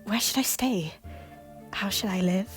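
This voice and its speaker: A woman voicing a desperate widowed former queen who pleads in a breathy, half-whispered voice in a fan audio drama. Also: medium pitch, a little slow, soft background music, lightly acted. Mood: humble.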